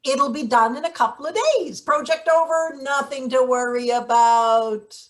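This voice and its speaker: A woman's voice: high-pitched, steady tone, close to an everyday voice. A woman playing a hot-tempered, scheming maintenance worker in a play reading, sardonic and placating by turns. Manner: sardonic, placating, quick to snipe. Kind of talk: live table read of satirical stage play.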